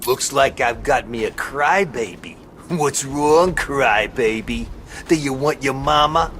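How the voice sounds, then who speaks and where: An actor playing a sadistic, bullying thug in a video game, mocking and menacing with drawn-out taunts. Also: male voice, mid-range voice, a bit bright, slight background noise. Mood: gloating, mocking.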